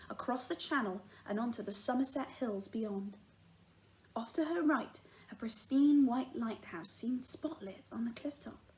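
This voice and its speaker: Woman, higher-pitched voice, children's storytime narration, teacher reading aloud, expressive delivery. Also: rough recording. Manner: animated, acts out the dialogue.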